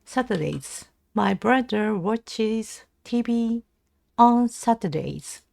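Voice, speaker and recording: A Japanese woman with a Japanese accent, practising language aloud on a casual livestream in a halting, hesitant way. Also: on the high side, lively intonation, gravelly, darkish tone, no room sound. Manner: hesitant, halting English with self-corrections. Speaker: live streamer learning English. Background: computer keyboard typing and clicking.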